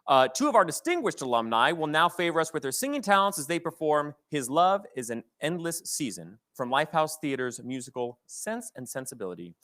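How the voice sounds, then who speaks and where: Man hosting, with very lively intonation. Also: on the high side, a little fast, hardly any pauses. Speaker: event host announcing performers. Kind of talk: live stage presentation speech.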